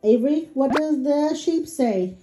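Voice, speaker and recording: A woman slowly coaxing a baby with animal sounds and finger games, indoors at home. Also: high voice, expressive, music in the background. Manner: slow, coaxing, sing-song baby talk. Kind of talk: talking to a baby.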